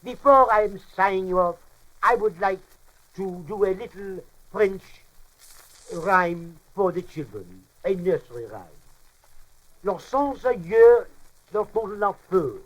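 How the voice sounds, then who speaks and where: A man's voice: high voice, animated, slow pace, full character voice, poor audio. A man playing a pompous, bumbling comic French professor in a radio monologue, lecturing in muddled, mock-formal language and mangled words. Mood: grand farewell.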